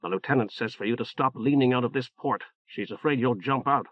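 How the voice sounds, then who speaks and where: A man's voice: mid-range voice, brisk, dry recording, lo-fi sound, lightly acted. A man voicing a plain-spoken, matter-of-fact young woman soldier in an audiobook. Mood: wary.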